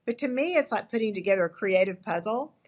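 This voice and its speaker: Older woman guest, East Texas accent, candid and lively, mid-pitched, chatty with restarts. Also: quick pace. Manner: candid, animated storytelling with frequent restarts. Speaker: guest, agency founder. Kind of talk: interview conversation.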